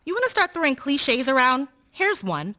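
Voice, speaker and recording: A woman's voice: high-pitched, very animated, moderate pace, sharp tone, heavily acted. A woman acting a willful, defiant young woman in love in a radio play.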